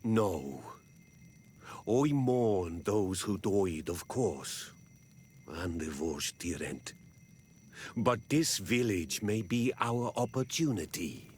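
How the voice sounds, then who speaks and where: An actor playing a calm, grave village elder in a video game, speaking in a measured, solemn way. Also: male voice, low-pitched, a little hiss or hum. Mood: grave, gentle. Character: calm, grave native village elder, peace-seeking man. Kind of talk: video game cutscene acting.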